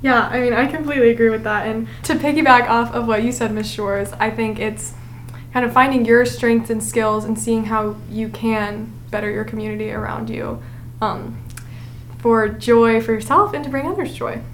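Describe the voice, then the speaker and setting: Upbeat teen girl, higher voice, bit far from the mic. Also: fairly even intonation, moderate pace, a little hiss or hum. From Rome, Georgia, US. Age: teens. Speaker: student guest.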